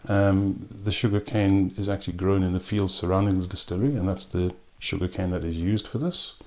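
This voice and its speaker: A man from South Africa with a South African accent, reviewing a rum in a relaxed way with frequent pauses and ums. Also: deep voice. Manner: unhurried, with frequent ums and restarts. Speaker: spirits reviewer. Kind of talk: casual spoken tasting review.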